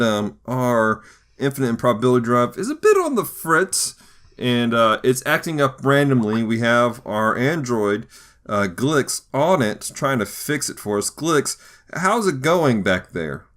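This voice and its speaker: A man's voice: mid-range voice, sing-song, moderate pace, a bit bright. A man co-hosts a geek podcast in casual chat. His voice sits in the middle range and swings animatedly up and down as he talks. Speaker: podcast co-host. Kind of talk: casual scripted-then-loose podcast chat.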